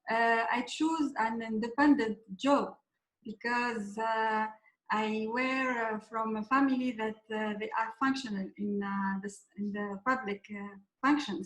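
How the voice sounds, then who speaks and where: A woman from Tunisia, a guest coach and former dentist, speaking haltingly with many fillers and restarts in a casual interview. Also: on the high side. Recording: echoey room.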